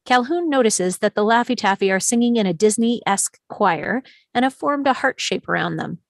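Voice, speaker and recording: A woman's voice: fairly high pitch, expressive, moderate pace, vocal fry, no room sound, clean studio sound. A woman reading scene directions as the narrator of a live script table read.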